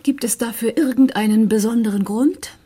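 An actress playing a wry, world-weary smuggler's lover in a radio drama, speaking in a dry, sardonic way. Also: female voice, a little high, moderate pace, mellow, slightly rough. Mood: wry, doubtful. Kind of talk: German radio drama dialogue.

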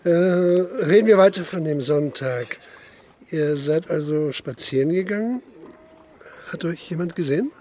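An actor playing a methodical, insistent police investigator in a German radio crime drama. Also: male voice, on the high side, animated, measured pace, dark tone. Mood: probing. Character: persistent, probing male police investigator narrating his inquiry. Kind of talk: German radio drama acting, interrogation and narration.

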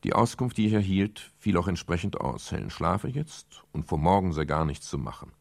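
A man's voice: deep voice, lively intonation, moderate pace, no room sound. An actor playing a sardonic private detective who narrates his case in a dry, laconic, brusque way in a German radio crime drama. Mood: laconic, brooding.

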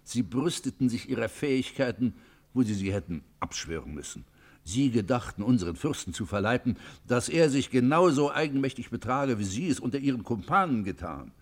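An actor playing a stern, moralising father confessor in a radio play, speaking in a solemn, measured, reproachful manner. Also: medium pitch, expressive. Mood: grave reproach. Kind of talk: German radio play, classical literary acting.

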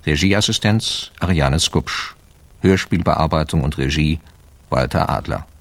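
Very deep, dry male announcer. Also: a little slow, creaky, fairly bright tone, no room sound. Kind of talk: formal scripted radio credits announcement.

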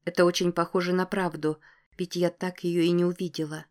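Low, very even female narrator. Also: brisk. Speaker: audiobook narrator. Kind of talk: first-person scripted fiction narration.

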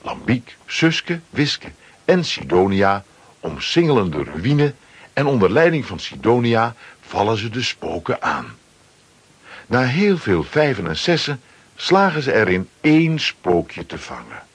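A man's voice: lowish voice, big pitch swings, measured pace, husky, no room sound. A man narrating a children's adventure story from a script, with dramatic delivery.

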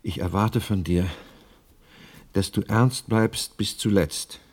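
An anxious, low-voiced grieving widower. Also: male voice, takes it slowly, darkish tone. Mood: solemn, insistent. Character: anxious grieving widower, solemn, touchy, devoted to dead wife. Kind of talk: radio play dialogue.

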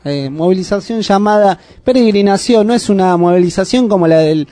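High, mellow-voiced Argentine radio co-host. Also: male voice, a little fast, no echo, soft background music. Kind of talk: casual radio chat.

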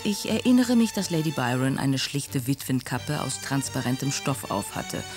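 An actor voicing a genteel, observant memoirist who recalls someone reflectively in a radio drama. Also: male voice, mid-range voice, very animated, moderate pace, over music, lightly acted. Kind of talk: scripted recollection in radio drama.

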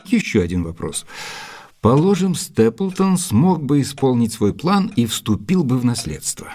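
Mellow, husky detective's companion. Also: male voice, mid-range voice, big pitch swings, measured pace. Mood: puzzled, probing. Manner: politely questioning, earnest. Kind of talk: audio drama acting, dialogue.

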